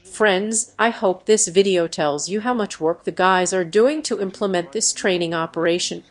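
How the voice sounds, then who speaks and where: A synthetic woman's voice reading a machine-translated voice-over dub with stiff, even phrasing and odd word choices. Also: fairly low pitch, normal speed. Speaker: AI dub voice for every speaker.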